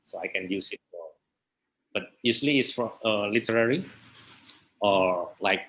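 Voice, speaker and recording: A man's voice: lowish voice, moderate pace, fairly bright tone, poor audio. A man from Indonesia with an Indonesian accent, a native Indonesian speaker, answering interview questions hesitantly as he searches for words in English. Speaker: theater practitioner interview guest.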